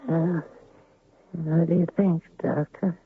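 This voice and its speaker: An actor playing a gruff, worn-out rancher and unlucky gambler with gravelly, folksy speech in an old radio drama. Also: male voice, on the high side, level tone, takes it slowly, a little hiss or hum. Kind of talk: 1970s radio drama acting. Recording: lo-fi phone-band dry old radio recording.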